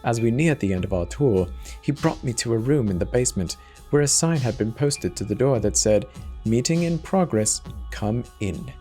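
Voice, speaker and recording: A man's voice: medium pitch, expressive, a little fast, warm tone. A man giving a dramatic scripted narration of a horror story. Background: music.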